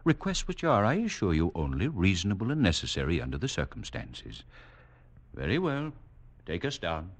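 A man's voice: low-pitched, huge pitch swings, slight background noise, heavily acted. A man acting in a 1940s radio drama as a courteous, coolly superior leader of a hidden people, speaking in formal, condescending phrases. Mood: soothing, apologetic. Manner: formal, polite, condescending, measured.

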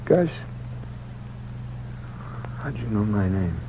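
Deep-voiced, hard-boiled young ex-convict. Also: male voice, animated, very slow, dark tone. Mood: weak, wry. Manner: hard-boiled, slangy, wry. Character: weary, bitter young male ex-convict, mortally wounded. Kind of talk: 1940s radio crime acting. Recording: dull, noisy old radio recording.

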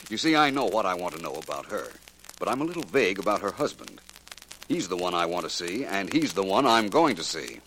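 An actor playing a suave, self-assured private investigator in a 1940s radio drama, polite, dry and unruffled. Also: male voice, low-pitched, big pitch swings, fairly quick, background noise. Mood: cool, polite.

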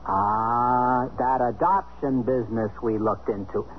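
An actor playing a bewildered, wry but soft-hearted American husband in a 1970s radio drama. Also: male voice, medium pitch, steady tone, slow, natural voice. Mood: agitated. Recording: muffled, hissy 1970s radio drama recording.

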